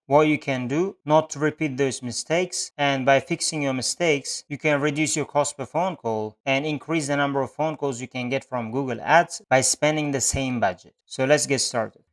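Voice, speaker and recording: Man, somewhat high pitch, software tutorial narration, explanatory, non-native phrasing. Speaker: Google Ads instructor. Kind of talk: screen-share tutorial narration.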